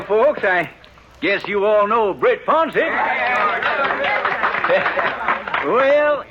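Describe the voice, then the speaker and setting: An actor playing a fussy, hesitant small-town fair official in a 1950s radio western. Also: male voice, sits high, exaggerated voice. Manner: hesitant, fumbling, nervously chuckling. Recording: muffled, noisy 1950s radio broadcast.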